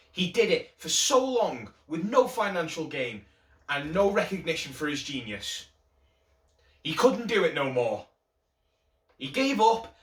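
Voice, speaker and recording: A man performing a stage monologue as a grieving friend, earnest and dramatic, with drawn-out emphasis. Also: mid-range voice, a bit bright. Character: grieving friend mourning a talented musician who gave up. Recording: roomy echo, distant microphone.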